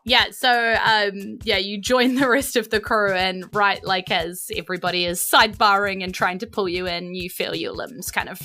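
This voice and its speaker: A woman's voice: mid-range voice, steady tone, normal speed, few pauses, pushed and bright, soft background music. A woman with a New Zealand accent narrating a tabletop game as game master, chatty and informal.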